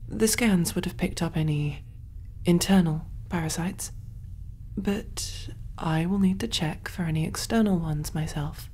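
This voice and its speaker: A woman voicing a kind, calm alien medic in a soft, breathy, reassuring ASMR roleplay style. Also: fairly low pitch, a little slow, slight background noise, lightly acted. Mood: gentle, informative. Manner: gentle, hushed, reassuring, often near whisper.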